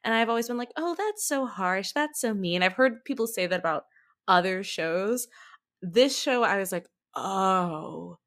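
A woman with a medium-pitched voice chats casually as a guest on a podcast. She tells things in an expressive, conversational way. She tends to add modest asides about herself. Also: a little slow. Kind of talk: casual chat about anime.

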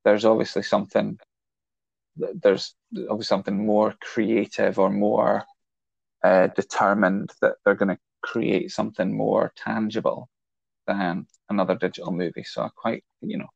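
A man's voice: on the low side, flat delivery, moderate pace, vocal fry, no echo, lo-fi sound. A man in his forties co-hosting a casual film chat, explaining his ideas at length and teasing his younger co-host. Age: 40s. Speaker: podcast co-host.

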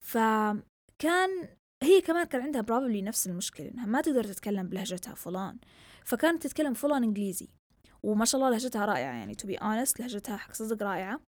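A woman's voice: fairly high pitch, very animated, racing, no echo. A young woman from southern Yemen hosting a casual solo podcast, with a mixed Yemeni and Saudi Gulf Arabic accent, talking in a chatty, rambling way and switching between Arabic and English. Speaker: podcast host.

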